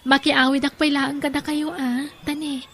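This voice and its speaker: A woman with an Ilocano accent playing a kind, soft-hearted young woman in an audio drama, speaking gently and expressively. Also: high voice, level tone, moderate pace. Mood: warm.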